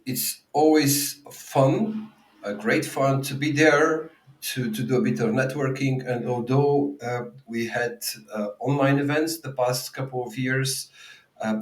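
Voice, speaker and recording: A man's voice: medium pitch, measured pace. A man from Bulgaria co-hosting a podcast, chatting casually about tech with lots of fillers and restarts. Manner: hesitant, many fillers and restarts. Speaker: podcast co-host. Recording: roomy, distant from the mic.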